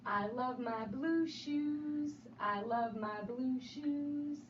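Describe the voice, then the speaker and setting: A woman reading a picture book aloud to children in an animated, sing-song way, with repeated refrains and questions. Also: high-pitched, drawn-out words. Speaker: storybook reader. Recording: roomy, distant, dull sound with faint noise.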